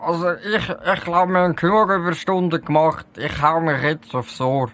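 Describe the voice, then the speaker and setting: A man's voice: on the high side, fairly even intonation, normal speed, fairly bright tone, close to an everyday voice. A man with a Swiss German accent playing a skeptical, down-to-earth detective sidekick in a comic audio drama, dry and placating. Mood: dutiful.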